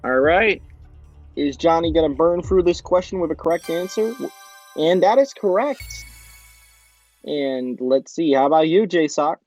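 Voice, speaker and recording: Husky young man hosting a game quiz. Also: fairly high pitch, expressive, unhurried, darkish tone, faint music behind. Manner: upbeat quizmaster, reads questions and answer choices. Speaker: quiz show host. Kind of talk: fan-made game show hosting.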